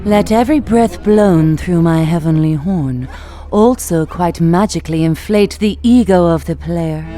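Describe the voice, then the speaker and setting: An actress playing a proud, wise Greek goddess in a radio drama, with measured, articulate theatrical speech. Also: female voice, lowish voice, dark tone.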